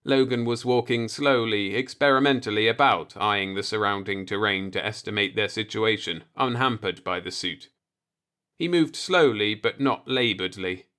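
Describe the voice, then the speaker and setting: A man's voice: fairly low pitch, normal speed, pushed and bright, smooth. Man narrating an audiobook story. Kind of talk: scripted story narration with acted dialogue.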